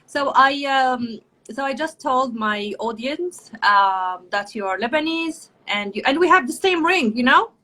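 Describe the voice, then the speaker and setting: A woman's voice: high-pitched, expressive, unhurried, hardly any pauses, sharp tone. A woman hosting a casual live video chat, speaking in a gushing, effusive way. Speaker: talk host.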